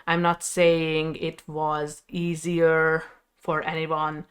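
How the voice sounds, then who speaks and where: A woman in her thirties from Hungary speaks to her viewers with a Hungarian accent. Her voice is smooth and clear, sitting a little low. She takes her time, pausing often as she shares a candid personal story. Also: completely flat delivery. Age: 30s. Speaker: video creator.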